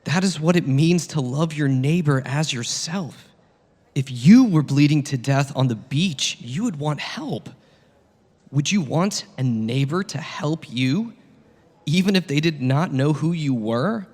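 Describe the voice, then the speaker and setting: A man playing a patient, earnest teacher of parables in a satirical dramatic reading, solemn and deliberate, with long echo around the voice. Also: a little high, lively intonation, darkish tone. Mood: earnest, insistent. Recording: long reverberant hall echo.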